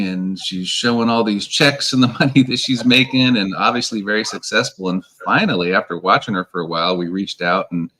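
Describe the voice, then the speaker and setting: A man's voice: on the low side, fairly bright tone, low-quality recording. A man in his forties, an earnest interview guest who repeats words while thinking. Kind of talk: casual online interview.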